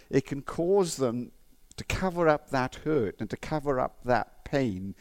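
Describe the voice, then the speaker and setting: A middle-aged man with a faded Welsh accent, preaching a sermon in a relaxed, conversational way, mixing personal stories with Bible reading. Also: medium pitch. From Wales. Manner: conversational, with frequent restarts and fillers.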